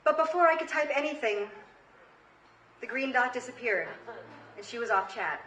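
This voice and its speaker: A woman's voice: on the high side, animated, moderate pace, bright, forceful tone. An American woman in her thirties telling a comedic story live on stage, with a dramatic, emphatic delivery. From Queens, New York, United States. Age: 30s. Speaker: comedy storyteller, show host. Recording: roomy live stage recording, faint noise.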